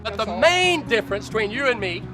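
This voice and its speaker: A man's voice: sits high, lively intonation, fairly quick, over music. A man playing a preacher who condemns the listener, declaiming in an accusatory way. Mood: condemning.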